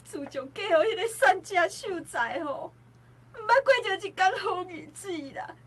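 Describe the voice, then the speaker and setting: A woman's voice: extremely high pitch, expressive, moderate pace. An actress playing a grieving mother who laments and pleads for justice in a radio drama. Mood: grieving, wailing. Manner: wailing, lamenting. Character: grieving old mother demanding justice for her dead daughter. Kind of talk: Taiwanese radio drama acting.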